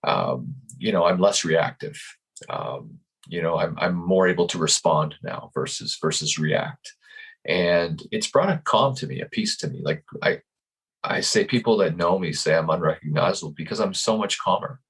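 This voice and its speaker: Man, 50s, very low voice, husky, calm, reflective, restarts often, video call. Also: normal speed. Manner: calm, searching, many restarts and repeated words. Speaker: podcast guest. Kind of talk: reflective interview talk.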